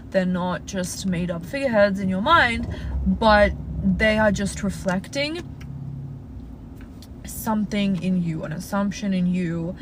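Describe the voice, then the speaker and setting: Young Australian woman host with a Russian first language, chatty and reassuring, mid-range voice, steady car noise behind her. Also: mid-range voice. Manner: chatty, frequent "like" fillers, reassuring advice-giver. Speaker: livestream host answering viewer questions. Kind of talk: casual live Q&A. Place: inside a parked car.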